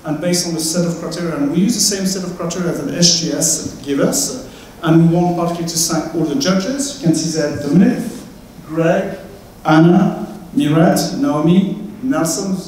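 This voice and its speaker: A middle-aged man with a French accent, hosting a university event in a chatty, welcoming way with some halting fillers. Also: fairly high pitch, darkish tone, a little hiss or hum. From France. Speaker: host. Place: large lecture hall, indoors. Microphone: handheld mic, far camera. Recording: very echoey hall from far off.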